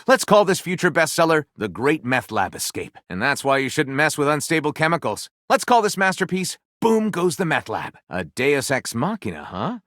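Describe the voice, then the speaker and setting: Lively, theatrical, self-satisfied storyteller. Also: male voice, fairly high pitch, moderate pace, few pauses, dry recording, clean studio sound. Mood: playfully pompous, then wry, dismissive. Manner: grandiose storytelling with wry, sarcastic asides. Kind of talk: video game character voice lines.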